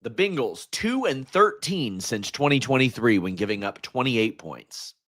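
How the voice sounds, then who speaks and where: A hoarse man hosts a solo sports stream and vents about his team like a fed-up fan. His voice sits at an ordinary middle pitch, and he stumbles and restarts when he gets worked up. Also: very animated, measured pace, a bit bright. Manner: frustrated ranting fan, restarts mid-sentence. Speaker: host.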